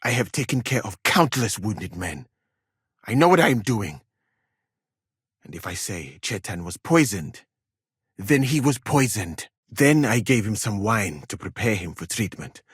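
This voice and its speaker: A man's voice: on the low side, very animated, husky, dry recording, clean studio sound, heavily acted. A man playing a proud, exacting medieval physician in a video game, speaking formally and precisely. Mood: indignant, proud, then measured, guarded. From Mali. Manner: formal, measured, precise wording. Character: proud, exacting foreign male physician in a medieval war camp. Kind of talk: dramatic video game dialogue.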